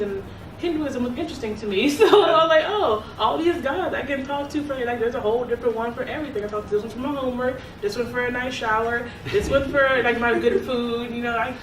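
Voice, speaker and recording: A young woman who grew up in South Korea, chatting casually as an interviewee, laughing often and restarting her sentences. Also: on the high side. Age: young adult. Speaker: interviewee, club secretary. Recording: echoey room, distant mic, noisy.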